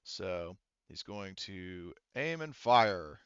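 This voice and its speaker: A man narrating a tabletop sci-fi game as game master, conversational and halting, repeating words, on a headset. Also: low-pitched, animated, fairly bright tone, clean tone, no room sound. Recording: dull close headset sound.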